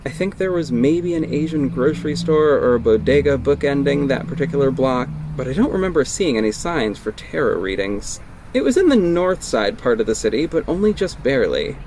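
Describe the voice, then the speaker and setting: A man's voice: mid-range voice, very animated, a little fast, noisy background. Sardonic young PI and narrator, a man's own voice, plain dry delivery. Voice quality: dry, sarcastic. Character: sardonic young male private investigator and vigilante. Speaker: first-person narrator. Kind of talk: scripted audio drama narration.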